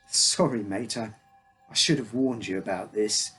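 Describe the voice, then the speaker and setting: A man's voice: fairly low pitch, a little slow, a bit bright, breathy edge, soft background music, full character voice. A man reading a story, voicing a secretive, apologetic father who confides in his young son in a matey way. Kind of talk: character dialogue in a read story.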